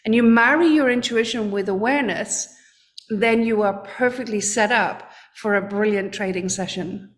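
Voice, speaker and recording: A woman's voice: mid-range voice, normal speed. A woman, a guest on a podcast interview, explaining her ideas earnestly and often repeating words as she searches for them. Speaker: guest, trading coach.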